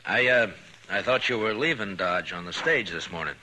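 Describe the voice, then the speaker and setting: Frontier lawman: plainspoken, firm but concerned, very forceful low voice, old radio western sound with faint noise. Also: lively intonation, moderate pace, poor audio. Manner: plainspoken, hesitant lead-ins, probing questions. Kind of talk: 1950s radio western acting.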